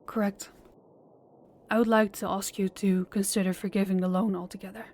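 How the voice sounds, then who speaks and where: A woman's voice: medium pitch, little pitch movement, normal speed, a little mellow, close to an everyday voice. A woman voicing a polite, desperate young woman pleading with a crime boss in an audio roleplay, speaking formally and deferentially. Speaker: voice actor and channel host.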